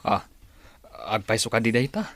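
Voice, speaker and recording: An actor playing a doubtful, weary husband in a radio drama. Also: male voice, lowish voice, sing-song, moderate pace, a bit bright, dry recording. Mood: reluctant.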